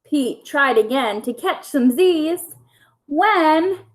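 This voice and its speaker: Slow, high-voiced woman reading a children's story. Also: animated. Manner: simple, deliberate phrasing for young children. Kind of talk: children's picture-book read-aloud.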